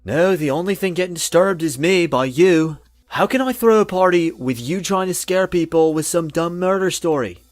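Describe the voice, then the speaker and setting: An actor playing a brash young frat bro, cocky, slangy and dismissive. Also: male voice, fairly high pitch, expressive, normal speed, faint music behind, lightly acted. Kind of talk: voiced game dialogue.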